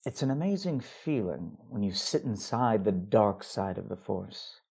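Gravelly low-voiced male sci-fi leader. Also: expressive, a little slow, a little mellow, exaggerated voice. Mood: calm, probing. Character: calm, measured Force-wielding leader and ally. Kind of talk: audio drama dialogue.

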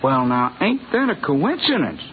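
A chatty, boastful blacksmith of the Old West, with a high American voice that bounces up and down. He talks in a folksy, rustic way, friendly and full of himself, on a tinny 1950s radio western recording. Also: very animated, normal speed, a little hiss or hum. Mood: gossipy. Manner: folksy, garrulous, rustic Western drawl and grammar.

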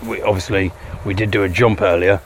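A man's voice: sits low, fairly even intonation, fairly quick, crowd noise. A man hosting a podcast as casual chat while out on a walk, joking and punning in a wry manner. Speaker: podcast host. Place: outdoors on a street. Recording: loud street and traffic noise.

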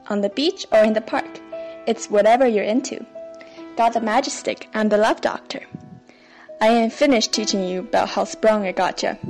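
A woman co-hosting a podcast, doing a dramatic spoken reading of rap lyrics. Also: fairly high pitch. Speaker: podcast co-host. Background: faint music.

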